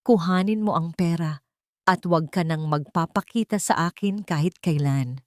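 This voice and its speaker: A woman's voice: fairly low pitch, moderate pace, a little mellow, no room sound, clean studio sound, natural voice. A woman voicing a cold, bitter, wounded billionaire man in a narrated drama, accusing and final. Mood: cold anger.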